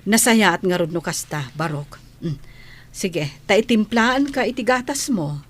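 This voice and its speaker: Woman acting a slow-speaking, caring household nanny. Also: medium pitch, expressive. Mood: fond, doting. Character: caring female household nanny, gently worried. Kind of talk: Filipino radio drama acting.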